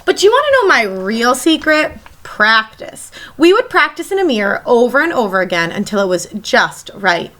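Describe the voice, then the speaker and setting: Lady teacher reading a kids story out loud, high voice, really expressive and swoopy. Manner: animated, emphatic, pauses to question young listeners. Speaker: elementary school teacher reading aloud. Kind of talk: expressive children's read-aloud storytelling.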